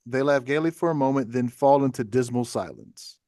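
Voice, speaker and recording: A man's voice: mid-range voice, a little fast, dark tone, dry recording, studio quality. A man reading stage directions as scripted narration for a play table read.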